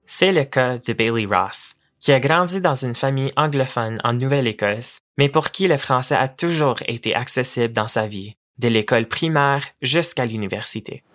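A man reading scripted documentary narration in a steady, formal way. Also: medium pitch, normal speed, hardly any pauses.

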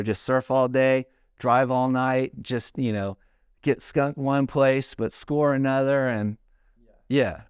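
A man's voice: mid-range voice, clear, resonant tone, no echo, studio quality. A middle-aged man talking casually, rambling with lots of "like" and "you know.". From South Carolina, born in Hawaii. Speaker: podcast guest, surfboard shaper. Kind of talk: casual conversation.

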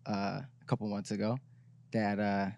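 A man from Iraq answering interview questions softly and hesitantly, with pauses and fillers. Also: fairly low pitch, completely flat delivery, creaky, highs cut off, a little hiss or hum. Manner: hesitant, soft-spoken. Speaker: guest musician. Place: studio.

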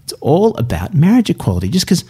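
Male podcast co-host, wry and joking, very animated intonation. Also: on the high side, quick pace, breathy edge. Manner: wry, joking, lively delivery. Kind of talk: casual political comedy chat.

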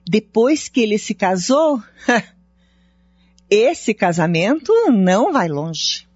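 Uma atriz brasileira de radionovela interpretando uma mulher invejosa, fofoqueira e de língua afiada, com fala cheia de desdém. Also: female voice, mid-range voice, sing-song, extremely slow, darkish tone, husky, no room sound, faint music behind, heavily acted. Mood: confiding. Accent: Brazilian. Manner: scornful, gossipy delivery. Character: envious, gossiping niece, sharp-tongued and spiteful. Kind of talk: radio soap acting. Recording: dull, lo-fi dry radio drama recording.

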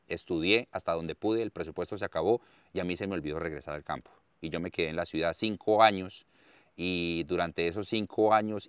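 A man in his thirties with a Santander Colombian accent speaks in a very low, smooth and resonant voice. He tells his life story earnestly and expressively, often repeating words for emphasis. The recording is close and dry. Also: no echo. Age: 30s. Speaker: interviewee, farmer and content creator. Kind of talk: conversational storytelling interview.

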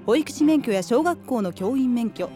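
Brief: a composed, formal twenties woman played by an actress, mellow tone, faint music underneath. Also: fairly high pitch, expressive, moderate pace, close to an everyday voice. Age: 20s. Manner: polite, businesslike, cool. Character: prim, formal 27-year-old woman rival. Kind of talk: radio drama acting.

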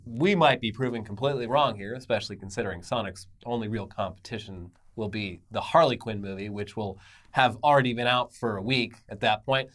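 A man's voice: on the low side, brisk, fairly bright tone. A man co-hosting an entertainment news show with semi-scripted commentary and casual banter, emphatic, irreverent and sarcastic.

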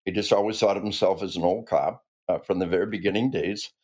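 A man's voice: low voice, steady tone, quick pace, darkish tone. A man in his sixties who grew up in the American Midwest, a retired army officer speaking earnestly and emphatically as a podcast guest. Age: 60s. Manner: earnest and emphatic, with frequent restarts. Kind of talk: conversational interview.

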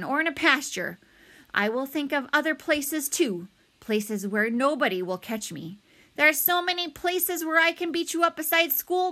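A woman's voice: high voice, sharp tone, voice pushed up. A woman voicing a boastful, menacing schoolboy bully in a children's storybook, with emphatic, pompous delivery. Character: boastful, menacing schoolboy bully, self-righteous rule follower.

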